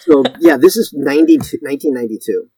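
A man's voice: fairly high pitch, wildly swooping pitch, quick pace, creaky, dark tone, breathy edge, no echo, rough recording. A man in his fifties from Connecticut, a guest actor telling stories in a casual interview, rambling and self-deprecating with many restarts.

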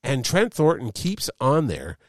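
A man's voice: mid-range voice, unhurried, no room sound, studio quality. A middle-aged man hosting a casual sports chat, rambling as he tells stories. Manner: animated, rambling storyteller. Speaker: podcast host.

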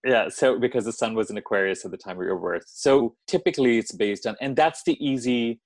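A man from India, a podcast guest explaining astrology in a measured, wry way. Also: mid-range voice, no room sound. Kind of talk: casual podcast interview.

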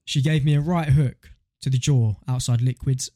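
A man's voice: medium pitch, steady tone, normal speed, mellow, no echo, clean studio sound. A young man co-hosting a podcast, bantering casually in a slangy, jokey, incredulous way. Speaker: podcast co-host. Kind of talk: casual banter, reacting to submitted stories.

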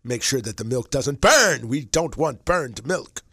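A man's voice: medium pitch, lively intonation, unhurried, very noisy. A man on a morning radio show giving a mock-dramatic recipe reading, emphatic and repeating words for effect. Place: radio studio. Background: music under the voice.

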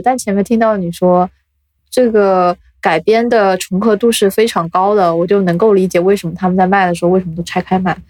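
A woman's voice: mid-range voice, darkish tone, no echo. A woman hosting a casual interview, reacting informally and asking curious follow-up questions. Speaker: podcast host, interviewer.

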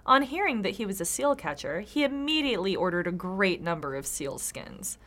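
A woman's voice: medium pitch, sing-song, moderate pace, a bit bright. A tipsy woman co-hosting a casual comedy podcast, reading folktales aloud with sweary, sarcastic asides.

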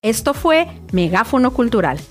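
A woman's voice: on the high side, very animated, normal speed, soft background music. A woman with a northern Mexican accent hosting a podcast, chatting casually with a guest in an effusive, encouraging manner. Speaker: podcast host interviewing a guest. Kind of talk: casual interview chat. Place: recording booth.

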